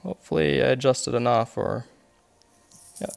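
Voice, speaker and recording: A congested man with a gravelly, fry-laden voice talks through his golf game shot by shot, pausing on fillers as he reasons aloud. His pitch sits in the middle of a man's range, and faint music runs behind him. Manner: explains his shots step by step, with many fillers. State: congested, coughing. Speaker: game streamer. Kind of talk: live gameplay commentary.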